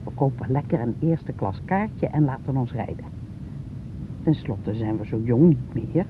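A man's voice: animated, moderate pace, natural voice. A male actor playing a fussy, anxious older spouse in a naturalistic radio drama, coaxing as they talk. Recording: tinny, noisy recording with vehicle rumble.

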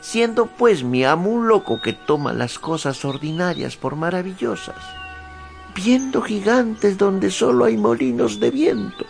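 A servile but cunning peasant squire in a radio play. His voice is very high and creaky, swooping widely as he wheedles and pleads, with faint music behind. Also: exaggerated voice. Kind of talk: radio drama acting.

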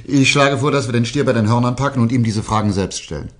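Wry, husky male detective played by an actor. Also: medium pitch, quick pace, close to an everyday voice. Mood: thoughtful, decided. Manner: dry, ironic. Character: wry, self-assured male detective, dryly teasing, bossy. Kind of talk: German radio crime drama acting.

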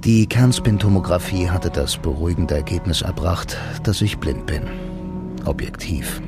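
A man's voice: low voice, moderate pace, over loud music, natural voice. An actor playing a blind man who narrates his murder case in a brooding, laconic radio noir style. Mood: dry, wary. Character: blind male bank employee, lonely noir narrator accused of murder. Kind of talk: radio drama first-person noir narration.

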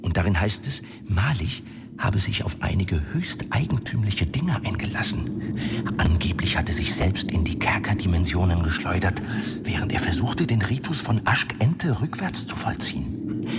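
A man's voice: lowish voice, lively intonation, normal speed, gravelly, heavy background noise. Fussy, bookish male wizard. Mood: hesitant, storytelling. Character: fussy, dithering wizard with a dry, bookish manner. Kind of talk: German fantasy radio drama acting.

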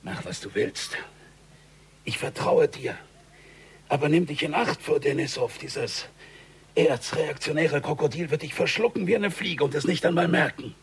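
An actor playing a gruff, wary police superior in a radio crime drama, blunt and familiar as he probes. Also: on the high side, normal speed. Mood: resigned, warning. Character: gruff male police superior, wary but trusting mentor. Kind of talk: German radio play acting.

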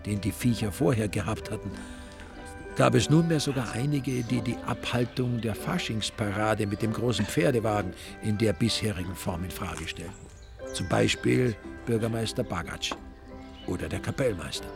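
Low, gravelly Austrian male narrator. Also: normal speed. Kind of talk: scripted radio drama narration. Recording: music behind the narration.

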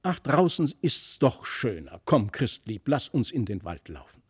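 A man voicing an impulsive, boastful young boy in a storybook, excitable and emphatic. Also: mid-range voice, unhurried, breathy edge, heavily acted. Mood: eager, restless. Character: impulsive, boastful young boy, quick to scorn his toys. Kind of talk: storybook character voice.

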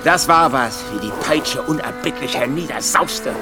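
An actor playing a simple, wary country carter in a German radio drama, blunt and halting, often repeating himself. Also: male voice, medium pitch, loud music behind the voice, natural voice. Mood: grim relish.

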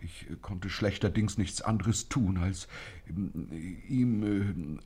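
Timid, husky old man, stammering. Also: deep voice, takes it slowly, dark tone, exaggerated voice. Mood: frightened. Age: older. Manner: hesitant, stammering, pleading. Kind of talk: 1950s German radio play acting.

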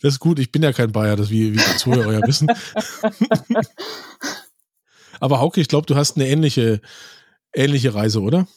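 A man's voice: mid-range voice, big pitch swings, normal speed, pauses often, darkish tone, no room sound. Man hosting a podcast, dry sound. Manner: conversational, sets up questions plainly. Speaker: podcast host. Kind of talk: casual interview chat. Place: remote recording, virtual studio.

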